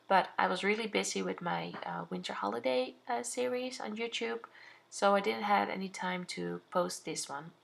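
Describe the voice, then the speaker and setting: Young Dutch-accented woman, hesitant crafting voice-over, mid-pitched with a touch of vocal fry. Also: moderate pace. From Netherlands. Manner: hesitant, many fillers and restarts. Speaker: scrapbooking channel host.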